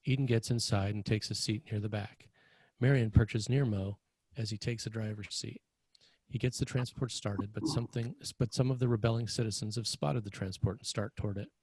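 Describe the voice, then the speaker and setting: A man with a low, husky voice narrates a screenplay. He reads scene directions as scripted narration, and the sound is dry and close. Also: fairly even intonation, brisk, a little mellow, dry recording, rough recording. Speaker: narrator reading stage directions.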